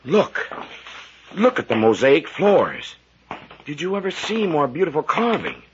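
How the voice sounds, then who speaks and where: Excitable scholarly doctor, noisy old radio. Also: male voice, on the high side, unhurried. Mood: awed. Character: learned, excitable male archaeologist doctor, jungle-wise and earnest. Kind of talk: 1940s radio adventure acting. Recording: noisy, muffled vintage radio recording.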